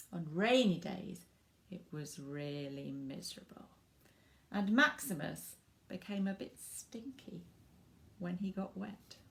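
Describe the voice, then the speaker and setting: Expressive woman reading a storybook, slightly creaky. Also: mid-range voice. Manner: animated, dramatic emphasis with drawn-out words and hushed asides. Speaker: author reading own picture book to children. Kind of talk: expressive storybook reading with asides to young listeners.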